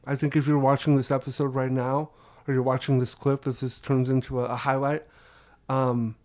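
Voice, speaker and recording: A man's voice: mid-range voice, flat delivery, normal speed, darkish tone. A man hosting a podcast interview, affirming his guest and asking probing questions. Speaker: podcast host.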